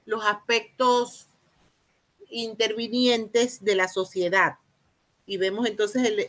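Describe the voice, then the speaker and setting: A woman with a Panamanian Spanish accent giving an explanatory lecture, haltingly, repeating and rephrasing phrases and posing rhetorical questions. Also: fairly high pitch, a bit bright. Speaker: university lecturer in teacher training.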